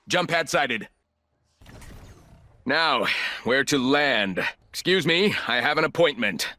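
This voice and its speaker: An actor playing a ruthless, arrogant corporate executive turned combat operator in a video game, speaking in clipped, commanding, coolly condescending lines. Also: male voice, medium pitch, a bit bright. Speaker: playable military shooter operator.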